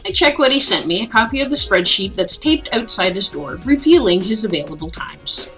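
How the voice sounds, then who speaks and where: A woman reading a story game aloud in an expressive, theatrical narrating style. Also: medium pitch, fairly quick, soft background music. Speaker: streamer reading a visual novel aloud.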